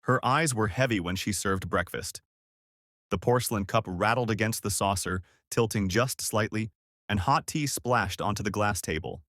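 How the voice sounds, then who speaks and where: A man's voice: lowish voice, moderate pace, fairly bright tone, no echo, clean studio sound. A man narrating a scripted dramatic story as a storyteller.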